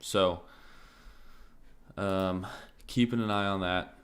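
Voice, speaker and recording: A man streaming crypto market commentary in a casual, thinking-aloud way, his voice slightly raspy and often interrupted by throat clearing. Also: low voice, slow pace. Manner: relaxed, thinking aloud, many fillers like "like" and "um".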